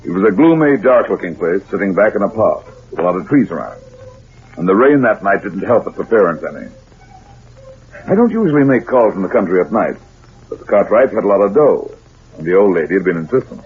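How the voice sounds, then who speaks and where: A big hard-boiled sleuth in a radio noir, low-voiced and wry. He speaks in cool slangy lines through a tinny vintage recording. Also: big pitch swings, fairly quick. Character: heavyset hard-boiled private detective, wry and unflappable. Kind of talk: old-time radio noir narration and dialogue.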